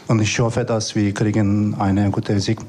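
A man's voice: fairly low pitch, flat delivery, unhurried, warm tone. A man from Turkey with a Turkish accent, a football player giving a short interview in slightly broken phrasing. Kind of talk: interview soundbite.

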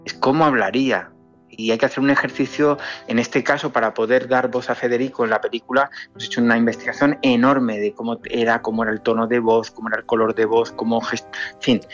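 A man with a Spanish accent speaks as an interviewed film director, in a middle-pitched voice. He explains things emphatically and conversationally, rephrasing as he goes. The sound is a little dull, with music playing behind him. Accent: Spain. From Andalusia, Spain.

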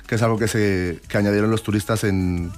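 A man's voice: fairly low pitch, fairly even intonation, brisk, a little mellow. A 29-year-old man from Colombia, chatting casually as an interview guest and explaining at length with little checking tags. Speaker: interview guest, twerk dance teacher and academy owner.

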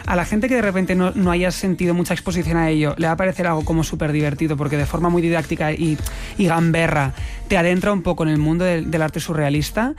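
A young man from Spain, an actor, chatting casually as an interview guest, quick and animated, laughing often and restarting his phrases. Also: a little high, faint music behind.

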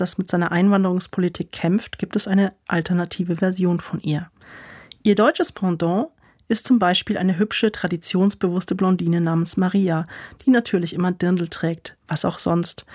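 A woman's voice: lowish voice, a little mellow, no echo, clean studio sound. A woman narrating a news segment in a slightly wry, ironic tone.

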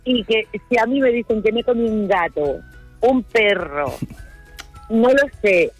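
A woman's voice: fairly high pitch, unhurried, faint music behind. A woman calling a radio phone-in, telling a casual anecdote in a chatty, self-mocking way.

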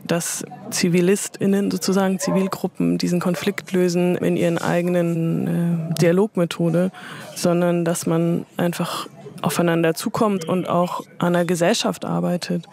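A woman's voice: on the low side, level tone, normal speed, gravelly, darkish tone. A woman answering interview questions thoughtfully, often qualifying her points with fillers. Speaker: interview guest.

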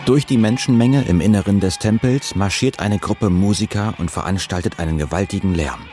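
Calm male narrator, very low pitch, documentary read over music. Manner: calm, measured reading.

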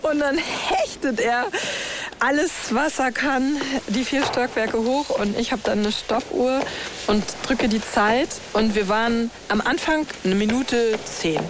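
A woman's voice: fairly high pitch, a little slow, noisy background. A woman with pronunciation, talking casually and energetically as a personal trainer telling a story.

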